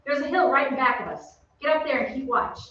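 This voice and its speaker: A woman playing a brisk, practical young soldier in a staged old-time radio drama. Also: sits high, animated, pushed and bright. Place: theatre stage. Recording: very echoey, distant, dull, faintly noisy stage.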